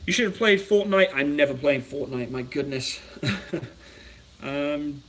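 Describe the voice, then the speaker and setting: A man's voice: fairly high pitch, measured pace, fairly bright tone, reverberant, far from the mic. A young British man hosting a casual live-stream, chatting and reading viewer comments aloud while he cooks in his home kitchen. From United Kingdom. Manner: chatty, reads out viewer comments and answers them. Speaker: channel host.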